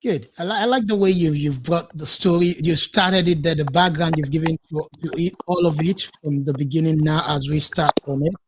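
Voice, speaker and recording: A man's voice: a little high, brisk, few pauses, slight background noise. A male radio host interviewing a guest remotely, encouraging with short affirmations.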